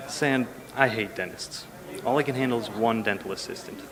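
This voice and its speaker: A man's voice: lowish voice, normal speed, a crowd in the background, close to an everyday voice, rough recording. A man playing a wry, teasing young husband who shrugs things off, in an audio drama performance. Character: flippant, skeptical young husband, aspiring lawyer. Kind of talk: stage audio drama acting.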